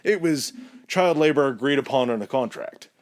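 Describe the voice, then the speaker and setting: A man's voice: mid-range voice, animated, normal speed. A man co-hosting a casual history podcast, telling the story in a chatty, rambling way and laughing easily.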